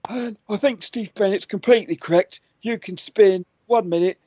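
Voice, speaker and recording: Man hosting a comedy quiz, high voice, husky, dry muffled sound. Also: moderate pace, dark tone, no room sound. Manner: playful, stumbling quiz delivery. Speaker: podcast co-host and quizmaster.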